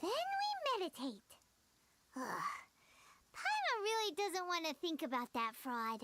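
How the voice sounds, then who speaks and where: Squeaky tiny companion, very high, lively swooping voice, third-person self-talk. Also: female voice, a little slow, noisy background, exaggerated voice. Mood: grumbling. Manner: talks about herself in the third person. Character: tiny floating female companion, chatty and childlike.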